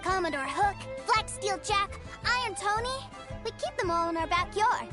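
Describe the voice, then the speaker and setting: A woman's voice: very high voice, expressive, normal speed. A woman voicing a sweet, clingy little boy in a video game. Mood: eager. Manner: earnest and wide-eyed. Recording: game audio with loud music.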